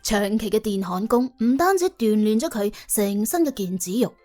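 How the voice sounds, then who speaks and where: A woman's voice: medium pitch, lively intonation, normal speed, mellow, soft background music. A woman with a Cantonese accent narrating an audiobook dramatically, in a wry, colloquial, exclamatory way. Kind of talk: dramatic scripted storytelling.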